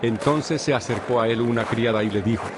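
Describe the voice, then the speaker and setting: A man narrating a dramatized scripture reading in a steady, formal storytelling manner. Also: medium pitch, fairly quick, noisy background.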